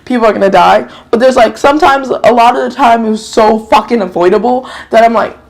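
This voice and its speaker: A woman's voice: a little high, fairly quick. A woman from New York whose first language is Japanese, chatting casually through a drama review, rambling, correcting herself and swearing now and then.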